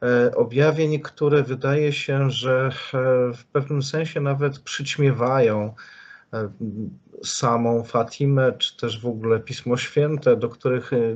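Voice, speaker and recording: A middle-aged man interviewing a priest, speaking in a measured, deliberate way with frequent fillers over a video call. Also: mid-range voice, a little mellow. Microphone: video call webcam. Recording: dull lo-fi video call audio.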